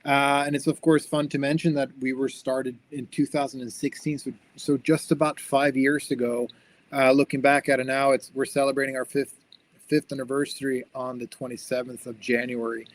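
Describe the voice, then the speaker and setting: Young man, video-call seminar speaker, friendly and upbeat, mid-pitched, frequent restarts. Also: little pitch movement, moderate pace. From born in Sweden, raised in the United States. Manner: friendly and upbeat, many restarts and repeated words. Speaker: guest speaker, company founder. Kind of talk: online seminar talk with slides.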